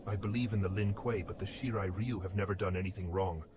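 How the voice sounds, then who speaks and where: Man playing an earnest, low-voiced young warrior. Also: fairly even intonation, fast, noisy background, lightly acted. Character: principled younger warrior brother, earnest and questioning. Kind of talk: dramatic audio drama acting.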